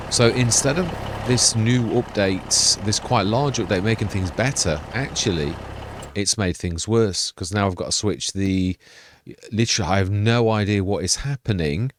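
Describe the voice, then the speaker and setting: A quiet-voiced man hosting a farming game let's-play, chatting in a meandering, self-correcting way. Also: on the low side. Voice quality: soft-spoken, quiet voice. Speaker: gaming channel host. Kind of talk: rambling let's-play commentary. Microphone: laptop gaming setup. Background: noisy computer hum.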